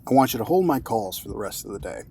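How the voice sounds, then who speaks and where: A man's voice: on the low side, animated, fast, creaky, mellow, slightly rough, exaggerated voice. A man playing a stern, authoritative executive in an audio drama, formal and sardonic in manner. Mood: businesslike. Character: cold, curt, authoritative wealthy male executive.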